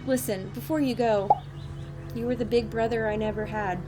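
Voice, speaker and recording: Woman as commanding female leader, sincere, earnest, plain-spoken, fairly high pitch, audio drama, music underneath. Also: steady tone, normal speed, lightly acted. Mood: tender.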